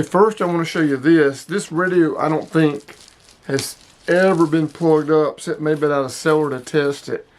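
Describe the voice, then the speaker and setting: A man in his sixties hosting a casual hobby product showcase, speaking emphatically and repeating himself to drive points home. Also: on the high side. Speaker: host of a hobby radio video.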